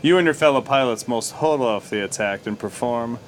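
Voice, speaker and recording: A man chatting about a game in a casual, dry-humored way, with a mid-pitched voice and a faint noise underneath. He teases his friend and reads out mission text now and then. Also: normal speed. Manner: dry, teasing. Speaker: co-commentator watching a game. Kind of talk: casual let's-play commentary.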